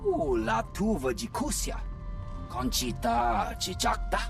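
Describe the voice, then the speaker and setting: An actor voicing an alien trader in a video game, speaking a made-up alien tongue with a creaky voice. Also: mid-range voice, big pitch swings, measured pace. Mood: bargaining. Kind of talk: video game cutscene dialogue. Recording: background music.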